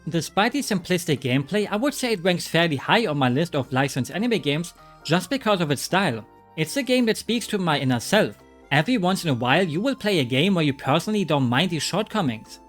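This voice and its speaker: A man with a fairly high voice talks through a game review in a scripted, offhand way, dropping wry jokes at his own expense. Soft music plays quietly underneath. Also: very animated, fairly quick. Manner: wry, joking asides. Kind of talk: scripted commentary.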